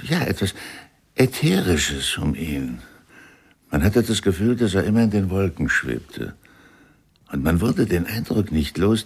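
Radio play part: reflective old-bandmate type, extremely deep, husky voice. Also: male voice, heavily acted. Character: reflective musician fondly remembering an admired late colleague.

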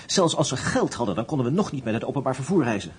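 A man's voice: medium pitch, very animated, fast, a little hiss or hum, natural voice. An actor playing a nervous, sarcastic male escaped prisoner who protests anxiously, in a radio play.

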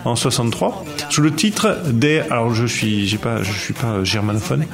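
A man co-hosts a relaxed podcast, rambling with lots of fillers. His voice sits at an ordinary pitch, and music plays clearly under the talk. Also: moderate pace. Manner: animated, rambling with many fillers. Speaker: podcast co-host. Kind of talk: casual chat.

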